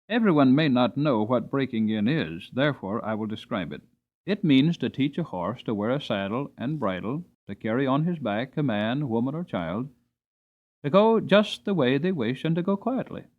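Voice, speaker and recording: A man reading a storybook aloud as narrator, dramatically, with deliberate, emphatic phrasing. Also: medium pitch, phone-quality sound. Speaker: audiobook narrator.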